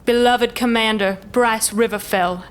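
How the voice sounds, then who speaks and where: A woman's voice: a little high, fairly even intonation, measured pace, clean tone, natural voice. A woman playing a noble elven commander in a fantasy audio drama, speaking in formal, stately oratory. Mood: solemn, mournful.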